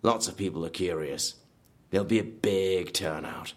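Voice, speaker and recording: An actor in an audio drama playing a vengeful, obsessive horror theme park designer, bitter and grandiose, with a husky voice. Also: male voice, low voice, moderate pace, natural voice. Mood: intense. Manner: brooding, bitter, grandiose, prone to outbursts.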